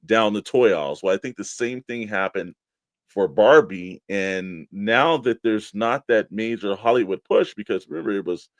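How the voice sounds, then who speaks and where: Low-voiced man hosting a casual talk show. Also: lively intonation, normal speed, no echo. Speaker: toy talk show co-host. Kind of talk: casual chat about toy collecting.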